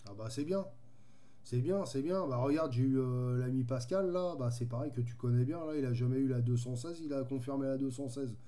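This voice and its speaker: A man's voice: medium pitch, fairly quick, dark tone. A man chatting casually as a radio hobbyist, easygoing and rambling in his way of talking. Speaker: amateur radio operator.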